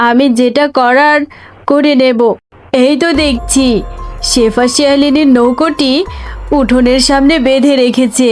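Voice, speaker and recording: A woman's voice: sits high, steady tone, a little slow, soft background music. A woman voicing a scheming, dismissive mother tigress in a children's fairy-tale cartoon, brusque and self-justifying. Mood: dismissive, sly.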